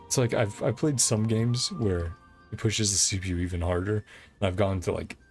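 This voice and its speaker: A man's voice: lowish voice, moderate pace, darkish tone. A man streaming a video game, casually commenting and reading dialogue aloud over game music.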